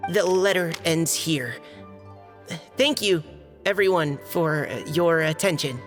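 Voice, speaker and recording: A dutiful young knight reads a long letter aloud in a slow, deliberate way. His pitch sits in a middle range, and the hall gives a long reverb under faint music. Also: fairly bright tone. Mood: steady reading. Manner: careful, formal reading, halting when flustered. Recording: game cutscene with reverb and music.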